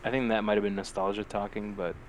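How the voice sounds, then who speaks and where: A man's voice: lowish voice, level tone, quick pace, slight background noise, rough recording. A man co-hosting a podcast in casual, slangy, joking banter with plenty of swearing. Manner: profane, slangy, jokey. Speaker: podcast co-host. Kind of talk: casual podcast chat, weird news banter.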